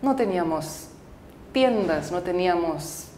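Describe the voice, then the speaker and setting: Low-voiced woman filmmaker in a roomy studio. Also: animated, a little mellow, a little hiss or hum. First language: English. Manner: thoughtful, halting, with frequent fillers and restarts. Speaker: filmmaker interviewee. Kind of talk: casual interview answers.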